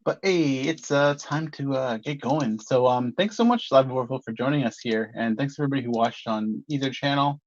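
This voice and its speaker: A man's voice: mid-range voice, moderate pace, few pauses, highs cut off, a little hiss or hum. A man hosting a podcast in casual, chatty conversation. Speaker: podcast host. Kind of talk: casual chat.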